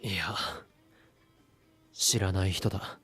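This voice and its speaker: A guarded, brooding young man from an anime game. His voice is fairly low and heavily breathy, his delivery terse and restrained over background music. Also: fairly even intonation, normal speed, fairly bright tone, full character voice. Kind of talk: anime visual novel voice acting.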